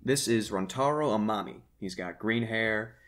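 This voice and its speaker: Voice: mid-range voice, normal speed, fairly bright tone. A host chatting casually and unscripted, prompting others with questions while presenting things for them to react to. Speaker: host showing characters and asking for guesses.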